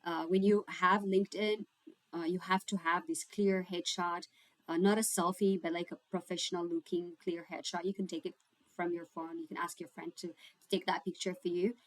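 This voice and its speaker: A woman's voice: on the low side, little pitch movement, normal speed, no echo, low-quality recording. Ethiopian-accented woman chatting casually, dry sound. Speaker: YouTube host giving career and scholarship guidance. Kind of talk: casual chat to camera. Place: indoors, homemade home recording setup. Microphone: separate microphone.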